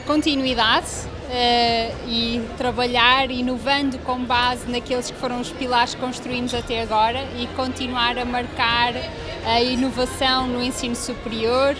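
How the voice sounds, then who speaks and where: A young woman speaks in a fairly high, level voice. Her answer is formal, with music clearly behind it. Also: level tone, a little slow. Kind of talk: formal interview remarks. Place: busy indoor reception hall.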